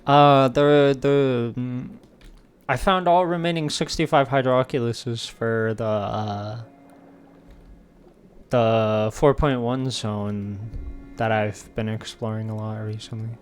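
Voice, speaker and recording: A man's voice: mid-range voice, steady tone, slow pace, smooth, soft background music. A man doing casual live commentary as a game streamer, playful and slangy, rambling as he plays.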